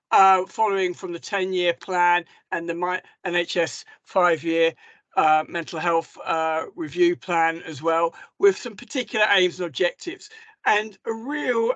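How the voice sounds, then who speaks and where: Hoarse man, service manager, halting webinar talk, higher voice, dry close sound. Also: fairly bright tone, dry recording, dull audio. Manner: hesitant, many ums and restarts. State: hoarse from a cough. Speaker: mental health service manager. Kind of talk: presenting slides in a webinar. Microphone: video call.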